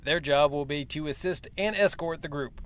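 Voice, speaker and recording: A formal army major briefs the press with clipped assurance, as a man acts him out in an audio drama. His voice sits a bit high, and his delivery is firm and official. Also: normal speed, a bit bright, clean tone, natural voice. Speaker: military officer.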